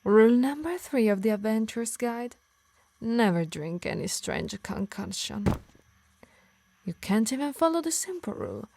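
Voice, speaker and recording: A woman with an Italian accent playing a possessive, obsessed harpy in an ASMR roleplay, soft and breathy, slipping into whispers, both tender and menacing. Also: fairly high pitch, big pitch swings, normal speed, noisy background. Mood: scolding. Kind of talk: intimate ASMR roleplay acting.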